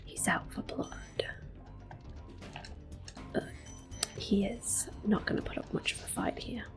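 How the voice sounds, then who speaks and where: Slow whispering woman, soft ASMR. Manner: soft-spoken, often whispering, gentle. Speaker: host of a gameplay series. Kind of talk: soft-spoken ASMR gameplay commentary. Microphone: close microphone. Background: soft game music.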